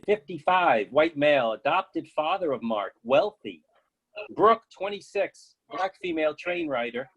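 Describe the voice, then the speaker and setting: A man's voice: fairly high pitch, slightly rough, poor audio. A man giving scripted narration as the reader of a play's stage directions.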